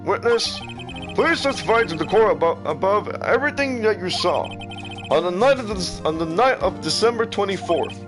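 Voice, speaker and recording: A man's voice: high-pitched, expressive, normal speed, over music, pitched above the performer's own voice. A man voicing a pompous older male judge in a game dub, formal and declamatory as he runs the court. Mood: commanding.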